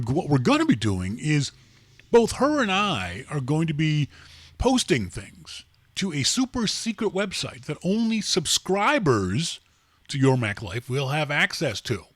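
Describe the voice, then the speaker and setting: A man's voice: medium pitch, no echo. Guy hosting a live mac podcast, rambly and jokey, voice bounces all over, dry close sound. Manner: rambling, joking, many fillers and restarts. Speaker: podcast host. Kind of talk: casual live show chat.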